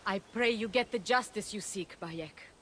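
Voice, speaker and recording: A woman's voice: medium pitch, a little slow, fairly bright tone, slightly rough. An actress playing a caring young woman who speaks earnestly and reassuringly.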